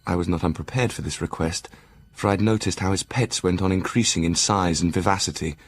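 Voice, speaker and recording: A man playing a brooding Victorian asylum doctor, narrating his journal in a measured, formal way for an audio drama. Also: low voice, a little hiss or hum, natural voice. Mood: measured, observant. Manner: measured, formal, literary delivery.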